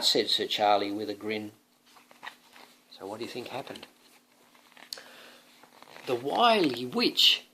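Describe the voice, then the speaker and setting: Male reader for a children's picture book. Very animated intonation, playful drama, parental asides. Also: medium pitch. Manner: dramatic, playful, often asking the listener questions. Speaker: father reading a picture book to his young son.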